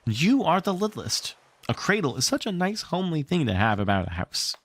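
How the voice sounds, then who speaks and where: A man's voice: fairly high pitch, very animated, normal speed, fairly bright tone, pitched above the performer's own voice, heavily acted. A man voicing a prim, fussy young motherly girl in a storybook, speaking in a tart, simpering way. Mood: tart, fretful.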